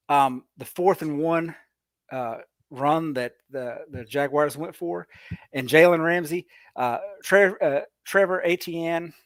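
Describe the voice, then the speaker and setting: A man's voice: a little high, dry recording. Rambling man on a sports podcast. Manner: rambling, repeats words while thinking. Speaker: podcast panelist. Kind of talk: casual sports chat.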